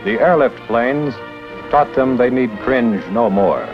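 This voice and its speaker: A man's voice: mid-range voice, animated, takes it slowly. A man giving scripted, proud, declamatory narration for an old documentary film. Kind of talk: scripted 1950s newsreel narration. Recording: dull old film soundtrack with music.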